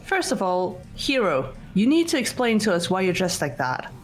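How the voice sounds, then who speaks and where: A woman's voice: mid-range voice, very animated, normal speed, close to an everyday voice. A woman voicing a reserved, analytical teenage girl in a fan-voiced visual novel, speaking tersely and matter-of-factly. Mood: curt. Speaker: voice actor in fan game playthrough. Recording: music under the voice.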